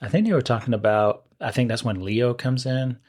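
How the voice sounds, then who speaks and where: A man from Scotland co-hosts a relaxed podcast chat in a mid-pitched voice. He is easygoing and agreeable, answering with quick short replies before he shares his own favorite moments. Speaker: podcast co-host. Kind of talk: casual chat about a TV movie.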